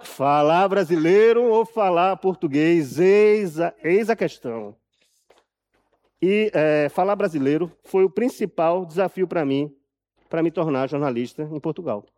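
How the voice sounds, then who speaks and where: A man with a Brazilian accent giving a live talk from a prepared text, telling his story deliberately and emphatically, repeating phrases for effect. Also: fairly high pitch, darkish tone. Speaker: journalist and author speaking at an event.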